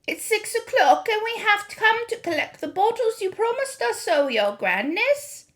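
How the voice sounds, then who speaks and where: A woman's voice: very high-pitched, big pitch swings, unhurried, a bit bright, pitched above the performer's own voice, full character voice. A woman voicing a group of timid, fawning old witches who speak meekly. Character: meek, frightened old female witches.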